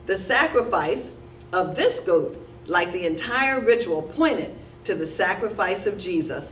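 A woman teaching a Sunday school Bible lesson at a church lectern, reading steadily and slowing down to stress key words. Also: mid-range voice, lively intonation, a bit bright, a little hiss or hum. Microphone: lectern mic, filmed from a distant camera. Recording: roomy church sound from a distance.

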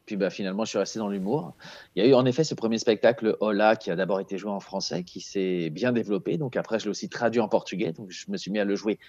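A man's voice: fairly low pitch, fast, darkish tone, dry recording. Easygoing comedian guy in his 30s chatting from home on a video call, dry and a bit muffled. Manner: easygoing, laughs often, many fillers. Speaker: comedian guest. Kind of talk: relaxed interview chat. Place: small room at home. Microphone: earphone headset on video call. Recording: slightly dull call audio.

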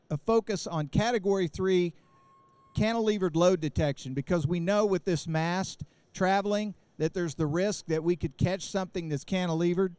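A man in his forties with a fairly high, smooth, clear voice presents a product at a trade show booth. He explains technical details steadily into a handheld mic, with frequent fillers and restarts. The sound is close and dry. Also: darkish tone, no echo. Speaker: company presenter. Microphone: handheld microphone.

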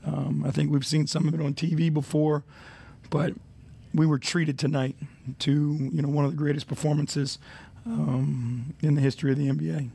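Gravelly coach at a press desk. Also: male voice, on the high side, level tone, dark tone. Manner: measured, many fillers, reflective. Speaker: head coach. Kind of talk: postgame press conference. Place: arena press room. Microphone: desk mic.

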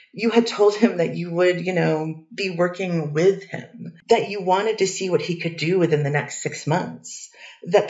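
A woman's voice: fairly low pitch, darkish tone, lo-fi sound. A woman attorney questioning a witness in a courtroom, hesitant and hedging, with many restarts. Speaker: defense attorney. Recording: distant echoey courtroom audio.

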